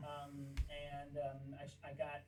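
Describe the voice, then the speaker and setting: A man from Kansas with a squeaky voice, a puppeteer guest chatting casually and acting out stories. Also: takes it slowly, recorded from a distance, noisy background. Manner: animated storytelling, acts out quotes. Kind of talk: casual interview chat.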